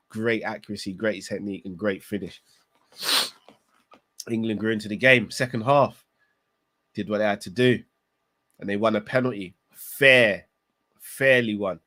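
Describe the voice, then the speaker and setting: A man's voice: fairly low pitch, fairly bright tone. Congested man giving football opinions. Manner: opinionated, emphatic. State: congested from a cold. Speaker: football channel host. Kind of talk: casual recap monologue.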